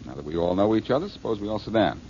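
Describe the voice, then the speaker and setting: A deep-voiced, self-assured detective , acted by a man with a lively, swinging delivery. He talks with easy cocky confidence. The sound is a muffled old radio broadcast with faint hiss. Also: rapid-fire, natural voice. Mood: confident. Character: tough, wisecracking male detective. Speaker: lead detective. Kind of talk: 1940s radio acting.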